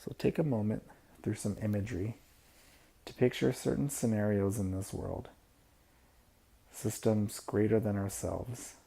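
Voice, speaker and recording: A man leading a guided imagery meditation, giving soft-spoken, unhurried instructions with pauses between phrases. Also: lowish voice, fairly even intonation, gravelly, slightly rough.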